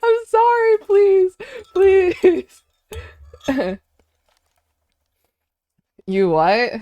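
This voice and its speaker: A woman's voice: very high voice, wildly swooping pitch, extremely slow, no room sound, muffled recording. A young American woman streamer chatting casually with viewers, giggling often and restarting her sentences. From United States. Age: young adult.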